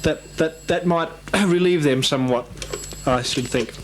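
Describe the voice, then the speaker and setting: A man's voice: fairly high pitch, measured pace. A man chatting casually as an amateur radio operator, rambling and hesitant, often repeating himself.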